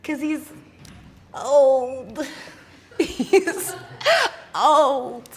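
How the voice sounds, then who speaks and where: A woman's voice: sits high, drawn-out words. A twenty-two-year-old woman on a dating show, bubbly and blunt. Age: 20s. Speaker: game show contestant. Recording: music under a distant mic.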